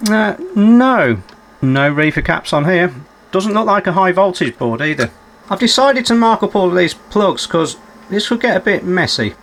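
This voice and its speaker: A man's voice: high voice, lively intonation, moderate pace, a bit bright, a little hiss or hum. A man giving unscripted running commentary as he works on a repair, musing aloud and putting questions to himself with dry, understated humour. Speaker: electronics repairer presenting a repair video.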